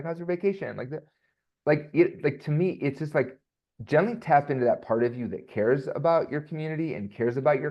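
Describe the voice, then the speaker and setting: A man speaking as a voice coach guest, chatty and animated, with plenty of fillers and restarts. His voice sits in a middle range. The sound is dull and a bit lo-fi. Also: mid-range voice. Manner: animated, rambling with many fillers. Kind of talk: casual podcast interview.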